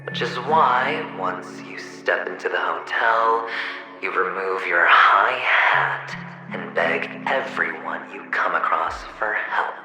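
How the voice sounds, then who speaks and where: Mocking game villain double, piercingly bright forceful voice, slow theatrical delivery, sneering, echoing. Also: male voice, fairly low pitch, full character voice. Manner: theatrical, sneering, drawn-out phrasing with chuckles and whispers. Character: mocking, theatrical taunting inner double of a young gambler man. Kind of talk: dramatic video game acting. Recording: echoing voice under clear music.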